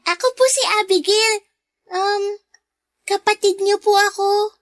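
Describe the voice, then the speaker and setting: A woman's voice: extremely high pitch, flat delivery, unhurried, no room sound, clean studio sound. A woman playing a polite, eager young girl, a sweet little sister with a childlike, deferential manner in a Filipino radio drama. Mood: timid, polite.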